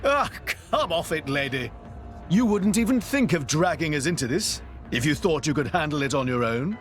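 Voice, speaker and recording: A man with a British accent playing a brash, blunt, colloquial young adventurer in a video game, his voice husky. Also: fairly high pitch, lively intonation, normal speed, soft background music, close to an everyday voice. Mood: wry.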